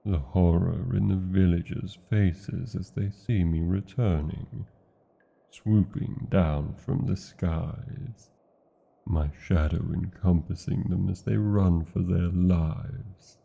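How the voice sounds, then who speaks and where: A British man voicing a huge, ancient, proud yet lovesick dragon in a romantic fantasy roleplay, with a gravelly, husky voice and archaic, theatrical phrasing. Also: deep voice, warm tone, muffled recording, exaggerated voice. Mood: wistful, grand. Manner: archaic, grandiose phrasing with lingering pauses.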